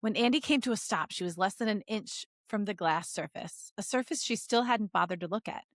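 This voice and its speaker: A woman with a mid-pitched voice reads a story aloud as a podcast host. She is playful and drops into jokey asides. The sound is very dry, with hardly any room on it. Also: brisk, no room sound. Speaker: podcast host reading fan fiction aloud. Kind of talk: story reading mixed with joking banter.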